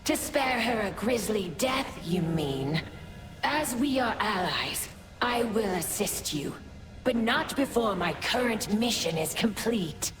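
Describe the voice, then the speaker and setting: A woman's voice: mid-range voice, animated, slow, music in the background. A woman voicing a proud, secretive spymaster in a fantasy game cinematic, dry and guarded.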